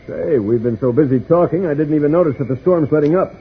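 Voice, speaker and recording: Earnest, protective male space leader, fairly high voice, explains steadily, tinny vintage radio serial. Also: fast, breathy edge. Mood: grave, steady. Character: calm, protective male space-adventure hero leading children. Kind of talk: 1950s radio serial acting. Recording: tinny, hissy old radio recording.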